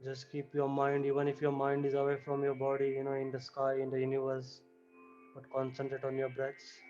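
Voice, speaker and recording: A man leading a guided yoga relaxation with chanting, giving calm, repetitive instructions and often saying "you know". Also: mid-range voice, a little fast, muffled recording, soft background music.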